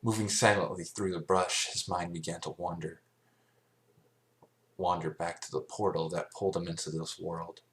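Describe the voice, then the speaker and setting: A man narrating scripted fan fiction as an amateur reader, halting and stumbling over phrases now and then. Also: deep voice, steady tone, a bit bright, slight background noise.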